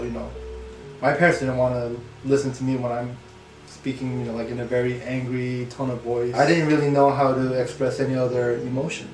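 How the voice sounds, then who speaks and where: A young man whose first language is English and second is Korean, speaking as an interview guest with many fillers, halting and soft about painful memories. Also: medium pitch, echoey room, far from the mic, rough recording. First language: Korean is his second language; English first. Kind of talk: candid personal interview.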